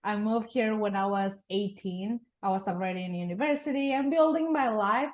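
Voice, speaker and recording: Woman, earnest interview guest, average pitch. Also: moderate pace. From Mexico. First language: Spanish. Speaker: guest, real estate investor. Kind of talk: interview storytelling.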